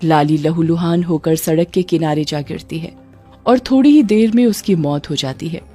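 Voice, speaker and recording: A woman's voice: deep voice, normal speed, dark tone, soft background music. A woman narrating a scripted story as a storyteller.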